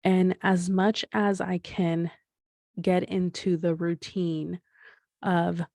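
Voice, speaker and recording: A woman's voice: on the low side, flat delivery, slow, creaky, darkish tone, dry recording, studio quality. A woman in her thirties hosting a solo podcast, talking things through in a rambling, reflective way with frequent restarts and fillers. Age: 30s. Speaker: podcast host.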